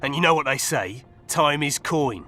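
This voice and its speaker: A rough, cocky hired thug. The voice is forceful and swings widely in pitch, crude and defiant, with game music underneath. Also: male voice, lively intonation, normal speed. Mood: indignant. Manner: crude, blunt, colloquial. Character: coarse, foul-mouthed male hired thug, cocky and defiant. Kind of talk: video game voice acting.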